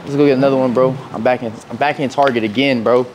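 A young man talks straight to his viewers in relaxed, slangy vlog chat. His voice sits at an ordinary middle pitch. Faint traffic and outdoor noise hum behind him. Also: fast. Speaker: vlogger. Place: outdoors in parking lots and inside a big store.

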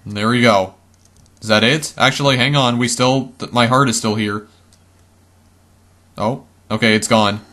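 A man commentating his game run casually, chatty and easily startled, his voice a bit hoarse. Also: mid-range voice, fairly even intonation, unhurried, long pauses, sharp tone. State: slightly hoarse, losing voice. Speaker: let's play gamer.

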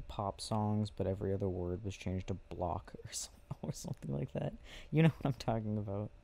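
A young adult man doing a soft, casual ASMR boyfriend roleplay, murmuring intimately and unhurriedly to a listener close by. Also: fairly low pitch, gravelly. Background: clicking and game ambience.

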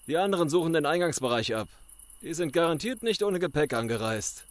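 Man playing a cruel, mocking gang boss, higher-pitched. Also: expressive, fairly quick, dry recording, lightly acted. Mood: commanding, gloating. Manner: sarcastic, commanding, taunting. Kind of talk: audio drama acting.

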